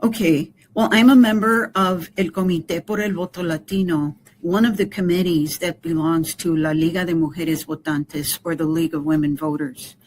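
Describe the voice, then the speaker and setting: A woman volunteering with a community voting group, explaining voter resources in a measured, careful way. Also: lowish voice, steady tone, darkish tone, slight background noise, low-quality recording. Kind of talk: explanatory interview answers.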